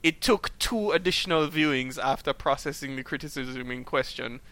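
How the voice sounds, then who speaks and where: A man's voice: medium pitch, normal speed, fairly bright tone. A man hosting a movie podcast, enthusiastic and ready to argue, reading out listener responses. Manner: enthusiastic, argumentative. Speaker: podcast host. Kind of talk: casual chat and listener questions.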